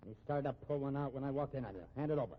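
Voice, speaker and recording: A man acting in a 1950s radio drama as a cornered fugitive gunman, sardonic and menacing, with a husky voice. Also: medium pitch, very fast, heavy background noise, close to an everyday voice. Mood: taunting, commanding. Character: cornered fugitive gunman, cool, taunting, protective of sister. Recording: muffled old radio recording.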